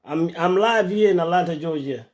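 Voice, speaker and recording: A middle-aged man from South Sudan hosting a Facebook Live talk on his phone, speaking haltingly and repeating words as he searches for names. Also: on the high side. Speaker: social media host. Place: home in Atlanta.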